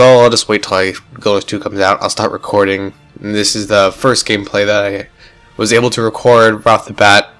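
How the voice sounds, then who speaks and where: A man's voice: fairly low pitch, fairly bright tone. Congested, rambling male gamer. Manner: rambling, enthusiastic, many fillers and restarts. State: congested, stuffy nose. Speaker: gaming YouTuber. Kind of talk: casual gameplay commentary.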